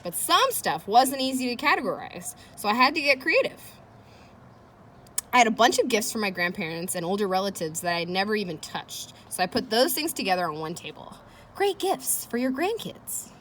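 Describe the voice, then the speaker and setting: A woman reads a children's chapter book aloud with lively, swinging intonation. She addresses young listeners directly in a chatty, engaging manner. Her voice sits at a middling pitch, with faint noise behind it. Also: normal speed. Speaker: read-aloud host.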